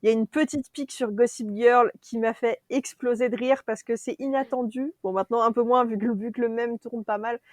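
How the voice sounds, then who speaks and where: A woman from France co-hosting a casual culture podcast, chatty and quick to laugh. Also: a little high, dry recording. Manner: chatty, laughs often, lively asides. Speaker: podcast co-host.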